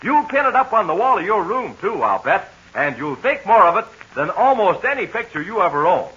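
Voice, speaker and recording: A man's voice: sing-song, a little fast, fairly bright tone, slightly rough. Eager 1940s radio announcer man. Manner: eager, upbeat sales pitch to children. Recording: muffled 1940 radio transcription.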